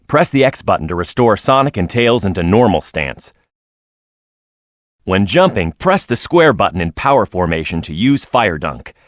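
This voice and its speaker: A man's voice: lowish voice, a bit bright, no room sound, clean studio sound. A man voicing a tough, hot-headed echidna brawler in a video game, proud and blunt in manner.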